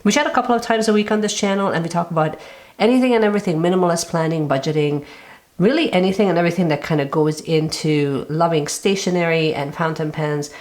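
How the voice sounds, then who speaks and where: A young woman talks casually to her viewers in a low voice. She is getting over a cold, so she sounds raspy, and she leans on fillers as she chats. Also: fast talker, a little mellow. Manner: chatty, lots of fillers like kind of and like. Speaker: planner channel host. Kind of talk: casual chat to camera.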